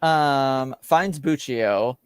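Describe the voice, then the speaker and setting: A man acting as game master, casually recapping a tabletop roleplaying story in a halting, drawn-out way. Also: medium pitch, clean tone, dry recording.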